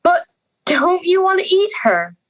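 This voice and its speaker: A woman's voice: very high voice, sing-song, measured pace, lo-fi sound, exaggerated voice. A young woman playing an anxious young schoolgirl in a fairy-tale audio drama, hesitant and pleading. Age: young adult.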